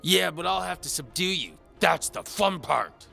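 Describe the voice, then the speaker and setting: A man's voice: high-pitched, lively intonation, a little slow, creaky, sharp tone, soft background music, pitched above the performer's own voice, heavily acted. A man voicing a loutish, boastful mercenary knight in an audio drama, with a thick put-on accent and a resonant voice muffled inside a helmet. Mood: taunting, menacing. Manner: thuggish, taunting, pompous. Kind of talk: audio drama character acting.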